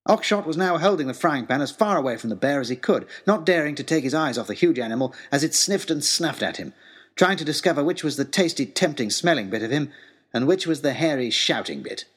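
A man narrating a scripted first-person story in an expressive, wry, rather formal storytelling manner. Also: on the high side, brisk, slightly rough.

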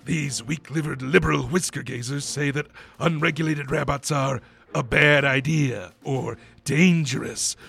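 A man's voice: fairly high pitch, creaky, fairly bright tone. A man playing a blustering, indignant spokesman in a parody political advertisement for a radio play, declaiming with fervor and emphasis. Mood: scornful indignation. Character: blustering, fervent rabbit gun-lobby-style advertisement spokesman.

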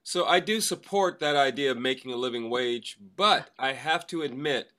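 A man's voice: medium pitch, moderate pace, pushed and bright. A man co-hosting a podcast in a casual, conversational way. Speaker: podcast co-host, actor.

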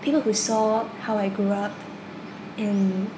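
A woman's voice: mid-range voice, little pitch movement, a little slow. Woman, 20s, from China, hesitant, repeats words, echoey room, noisy background. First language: Cantonese. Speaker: interviewee, university student. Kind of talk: casual interview answers.

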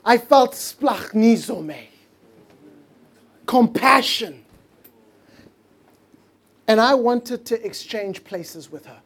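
A pastor preaching a sermon in earnest, drawing out key words slowly and dropping to a hush for emphasis. English is not his first language. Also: very high-pitched, expressive, slightly rough.

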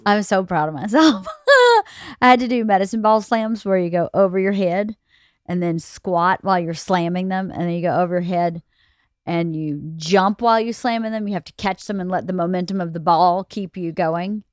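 A woman's voice: lowish voice, expressive, moderate pace, no room sound, studio quality. A woman in her late forties speaking in casual, intimate conversation as a podcast co-host, candid, reassuring and reflective. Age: late 40s.

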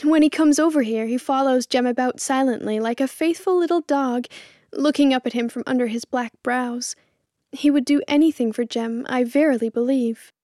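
A woman voicing a gentle, fond, genteel mother in a storybook, speaking earnestly. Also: high-pitched, normal speed, no echo, studio quality, voice pushed up, natural voice.

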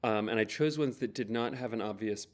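A man, answering interview questions in a relaxed, thoughtful way, with many fillers. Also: fairly low pitch, brisk. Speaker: interview guest.

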